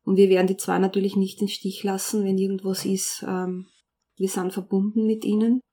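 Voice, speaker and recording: A woman's voice: medium pitch, level tone, moderate pace, dark tone. A woman who runs the interview, asking questions in an encouraging, upbeat way. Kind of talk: casual interview.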